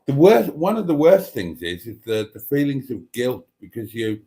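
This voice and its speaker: A man hosting a casual live chat and reading out viewer comments, with a wry, deadpan delivery. He is snotty and has a cough. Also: mid-range voice, moderate pace, slightly rough. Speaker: livestream host reading chat.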